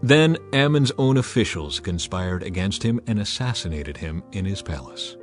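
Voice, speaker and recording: A man reading scripture aloud as narrator in a measured, solemn way. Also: low voice, animated. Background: soft music.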